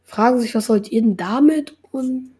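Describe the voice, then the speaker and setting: A woman's voice: a little high, normal speed, mellow, husky. A young woman in her teens hosting a casual podcast, rambling along with lots of filler words. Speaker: podcast host.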